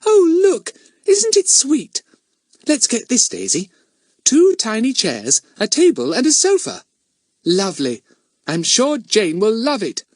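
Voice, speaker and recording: A man voicing an eager, impulsive little girl in a storybook, excitable and gushing. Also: extremely high pitch, slow, no room sound, clean studio sound, voice pushed up, exaggerated voice. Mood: delighted, gushing.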